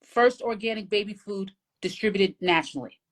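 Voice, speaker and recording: A woman hosts a live talk show with a mid-pitched voice. She is upbeat and chatty and keeps prompting her guest. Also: moderate pace. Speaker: host. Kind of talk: casual live-show hosting.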